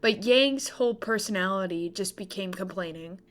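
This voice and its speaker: A young woman delivering opinionated, scripted video-essay commentary with casual asides, in an expressive, emphatic manner. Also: medium pitch, normal speed. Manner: expressive, emphatic, gushing and griping. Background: background music.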